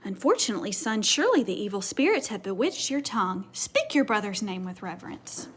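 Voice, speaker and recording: Female reader as exasperated, formal storybook mother, stern and dramatic, with a very lively range. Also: mid-range voice, fairly quick, husky. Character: stern, honorable mother of two boys, easily exasperated.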